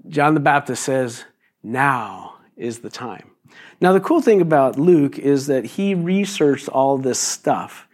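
Slightly raspy man preaching casually. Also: fairly high pitch, darkish tone. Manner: conversational preaching with many fillers and restarts. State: raspy voice this morning. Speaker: pastor. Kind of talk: sermon.

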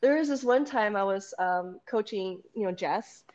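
A woman jiu-jitsu coach talking casually in a podcast-style chat. Her voice is loud and carries, and she talks in an animated way, acting out quoted lines. The recording sounds a little dull and lo-fi. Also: medium pitch, moderate pace. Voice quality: loud, carrying voice. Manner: animated, laughs often, acts out quoted dialogue.

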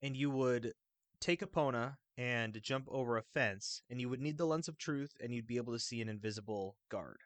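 A man chatting casually about video games in a rambling, halting, enthusiastic way. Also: mid-range voice, no room sound. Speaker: video game podcast panelist.

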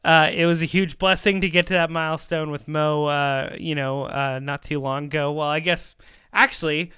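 A man's voice: fairly high pitch, steady tone, moderate pace, sharp tone, clean tone, no room sound, clean studio sound. A man hosting a podcast, chatting casually in an upbeat, talkative way. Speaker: podcast host. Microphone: close microphone on a remote call.

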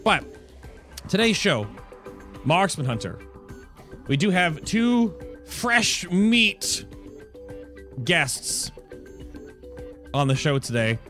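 Chatty, higher-voiced man hosting, music behind. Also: sing-song, drawn-out words, pauses often, bright, forceful tone. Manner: chatty, self-interrupting, joking asides. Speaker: podcast and stream host. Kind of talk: casual gaming show hosting. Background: background music.

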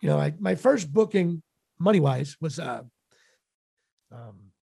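A middle-aged man with a raspy voice, chatting casually as an interview guest in a wry, self-deprecating, halting way. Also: fairly high pitch, lively intonation, dark tone, dry recording, clean studio sound. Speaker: podcast guest, actor and comedian.

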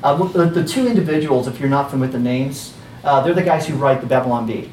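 A man's voice: animated, fast talker, darkish tone, slight background noise. Man lecturing in a roomy classroom. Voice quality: squeaky. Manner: chatty, many fillers and restarts. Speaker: teacher. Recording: roomy, distant, faint ambience.

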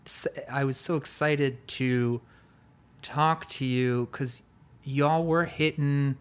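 A man's voice: mid-range voice, steady tone, takes it slowly, smooth. A man hosting a casual interview, rambling and searching for words, with an easy, swearing-in-passing manner. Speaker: podcast host.

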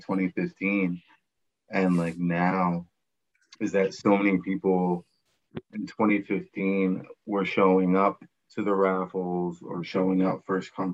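A man with a deep voice talks slowly and without much variation in pitch. He is hesitant and thoughtful in casual conversation, and the recording sounds a little dull.

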